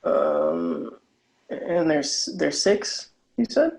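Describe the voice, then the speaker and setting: A man playing a low-key young hacker in a screenplay table read, hesitant and understated. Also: huge pitch swings, drawn-out words, darkish tone, exaggerated voice, low-quality recording. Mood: hesitant, dry. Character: low-key young hacker friend named Jake, male.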